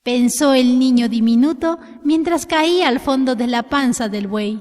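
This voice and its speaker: A woman narrating a scripted children's tale in an expressive storytelling style. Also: high-pitched, moderate pace. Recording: long hall reverb.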